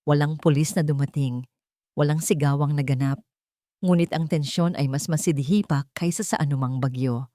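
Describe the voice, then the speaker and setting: Low-voiced woman narrating a dramatic story. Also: moderate pace, dark tone, dry recording, clean studio sound.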